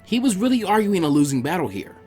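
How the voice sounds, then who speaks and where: A man reading fan fiction aloud as an expressive story narrator. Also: sits high, fast talker, soft background music.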